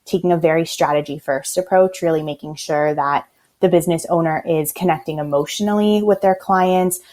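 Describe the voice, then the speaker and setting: An American woman from Los Angeles, a website designer, chatting as a podcast guest in a fast, intense, sarcastic way. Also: sits low, steady tone, darkish tone. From Los Angeles, USA. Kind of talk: casual interview chat.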